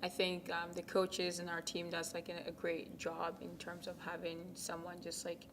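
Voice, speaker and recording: Young woman from Ghana, college athlete, low even voice, soft-spoken with lots of ums, noisy background. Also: normal speed. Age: young adult. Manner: soft-spoken, many ums, drops to near whispers. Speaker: college tennis captain. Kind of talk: casual interview answers.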